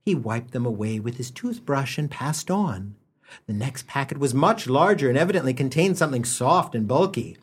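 A man's voice: medium pitch, expressive, normal speed, husky. A man playing a wry humorist narrator in a comic radio play, telling the story with mock solemnity and dramatic emphasis. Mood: wry, mock-delighted. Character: wry humorist narrator gently mocking a boy's gifts.

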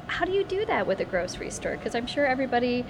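A woman's voice: a little high, sing-song, quick pace. A woman radio reporter interviewing a shop owner inside a grocery store, asking friendly, curious questions. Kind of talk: conversational interview. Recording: on-location with background noise.

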